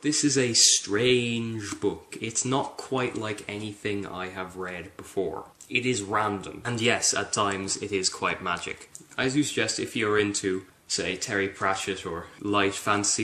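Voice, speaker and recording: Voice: low-pitched, normal speed, pushed and bright, slight background noise. A book reviewer giving a scripted presentation about a fantasy novel.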